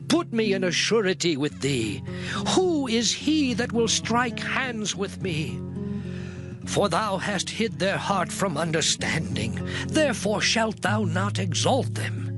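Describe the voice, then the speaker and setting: A man's voice: high voice, heavily acted. An actor playing an anguished, suffering man lamenting in a dramatized Bible audio drama, declaiming mournfully in old King James English. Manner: declamatory, mournful, sweeping delivery with long pauses. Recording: music behind the voice. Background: dramatic music.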